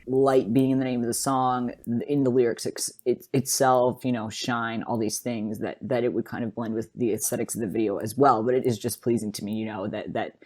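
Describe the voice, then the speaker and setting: A podcast host talking through an analysis in a casual, conversational and enthusiastic way, often adding "like" and checking in with "right?". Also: mid-range voice.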